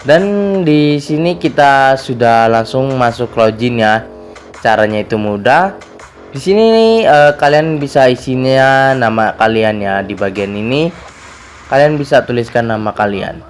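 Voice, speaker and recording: Casting: male tutorial host, mid-pitched slightly hoarse voice, chatty casual delivery, music underneath. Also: unhurried. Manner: chatty, repetitive verbal tags. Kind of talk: casual screen tutorial narration.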